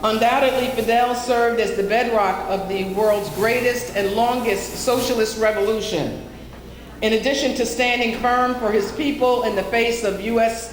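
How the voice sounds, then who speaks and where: A woman with a fairly high voice hosts a memorial tribute as emcee. She speaks in earnest, formal oratory with halting pauses. The live hall recording is reverberant, echoey and noisy. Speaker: master of ceremonies, co-moderator. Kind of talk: public speech at a memorial tribute. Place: large packed hall at a live event.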